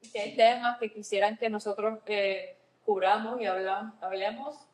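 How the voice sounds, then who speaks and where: A woman's voice: medium pitch, steady tone, measured pace, rough recording. A woman with a Nicaraguan Spanish accent, explaining things conversationally in a semi-professional register as a therapist and podcast co-host.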